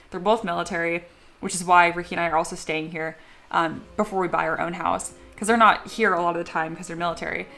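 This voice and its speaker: A woman's voice: fairly low pitch, a bit bright. A young adult woman chatting casually on a live stream while she sculpts at home, reading viewer messages aloud and laughing often. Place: home studio.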